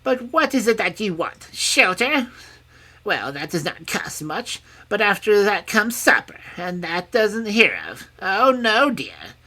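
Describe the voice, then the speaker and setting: A mean little old crone from a storybook. Her voice is pitched shrilly high and cuts sharply. She grumbles, scorns and haggles, swooping up and down with greedy mockery. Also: sing-song, unhurried, pushed and bright, full character voice. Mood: mocking. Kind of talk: storybook character voice.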